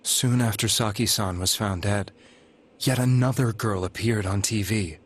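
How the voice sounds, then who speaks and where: An actor playing an earnest, guilt-ridden delivery man in a video game, speaking in a halting, solemn, confessional way. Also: on the low side, slightly rough. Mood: somber, reflective. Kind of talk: dramatic video game voice acting. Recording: music behind the voice.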